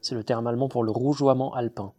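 A man who runs a distillery, answering interview questions in a halting, filler-heavy way with frequent restarts. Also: medium pitch, fairly even intonation, dark tone. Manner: hesitant, many fillers and restarts. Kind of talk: conversational interview.

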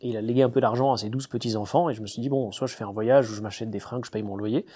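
A man, a distiller, answering interview questions in a relaxed, hesitant way, full of fillers and restarts. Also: mid-range voice, racing, breathy edge. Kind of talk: casual interview talk.

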